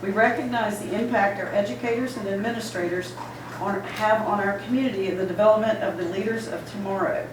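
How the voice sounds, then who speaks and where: A hoarse-voiced woman representing a sponsor, reading formal, measured remarks at a ceremony. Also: medium pitch, steady tone. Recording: echoey hall from far off, noisy.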